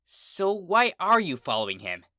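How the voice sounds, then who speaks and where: A performer voicing a brash young blue pegasus mare from a cartoon, loud and blunt in a casual way. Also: mid-range voice, big pitch swings, a little slow, no echo, studio quality, pitched above the performer's own voice. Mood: puzzled, annoyed.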